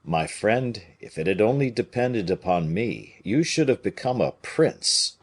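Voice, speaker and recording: A man reading an audiobook, voicing a grave, measured conspirator bishop who speaks in a solemn, placating way. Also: fairly low pitch, sing-song, full character voice. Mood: soothing. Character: Aramis, grave, smooth-talking conspiring bishop.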